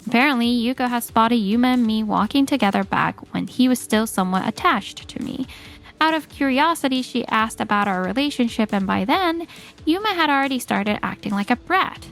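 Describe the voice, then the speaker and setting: A woman narrates a manga story in the first person from a script. Her voice sits high, and soft music plays behind her. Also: lively intonation, a little fast, vocal fry.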